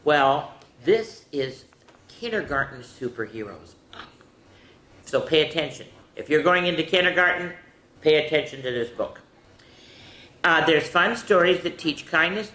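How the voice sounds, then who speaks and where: A woman reading picture books aloud to children, stopping for chatty asides to her young listeners. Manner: animated, switches into higher voices for characters. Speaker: children's storyteller. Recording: echoey room with faint ambient noise.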